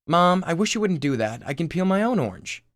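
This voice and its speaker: A man's voice: fairly high pitch, big pitch swings, a little fast, fairly bright tone, no echo, studio quality, voice pushed up. Man playing an exasperated, sarcastic teenage boy. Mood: incredulous. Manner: sardonic, incredulous. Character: exasperated, sarcastic eighteen-year-old son.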